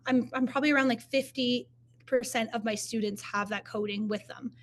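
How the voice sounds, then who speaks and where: A young woman from Canada, speaking in a somewhat high register, gives an online conference talk on her research. Her delivery is informal and earnest, full of ums and restarts. She speaks through a computer mic on a video call. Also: a bit bright. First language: English. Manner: informal and earnest, many fillers and self-corrections. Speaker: master's student presenting research.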